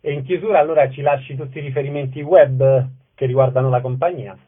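A man's voice: medium pitch, steady tone, fast, slightly rough. Male radio host, narrow phone-like sound. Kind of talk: radio interview and event announcements.

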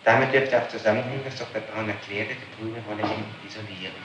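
A man's voice: fairly low pitch, steady tone, fast talker, muffled recording. Insistent male prosecutor on noisy echoey tape. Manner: insistent, official, citing witness statements. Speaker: public prosecutor questioning a suspect. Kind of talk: formal interrogation. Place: echoey room, taped interrogation.

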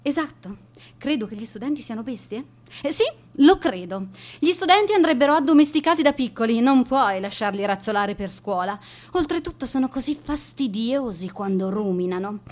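An actress plays a strict, vindictive woman teacher in a radio monologue. Her voice sits on the high side and swoops widely with scorn. She puts questions to herself and answers them with biting sarcasm. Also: a little mellow, natural voice. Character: bitter, spiteful, strict female schoolteacher, obsessed and vindictive. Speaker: radio drama actor.

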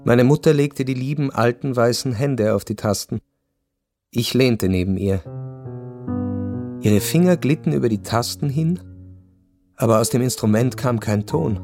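An actor playing a returned soldier son who narrates a radio play in measured, reflective, deliberate sentences. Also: male voice, mid-range voice, darkish tone, close to an everyday voice. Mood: wistful.

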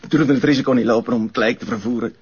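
Husky, cornered Flemish suspect. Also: male voice, medium pitch, steady tone. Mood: cornered, nervous. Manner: defensive, halting when pressed. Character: evasive, cornered male crime suspect under interrogation. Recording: muffled TV drama soundtrack.

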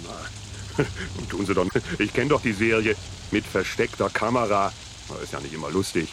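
A man's voice: fairly low pitch, moderate pace, fairly bright tone, slight background noise. An actor playing an officious but gullible, jovial bridge policeman in a crime radio play, bossy and pedantic yet chummy. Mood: chummy, amused.

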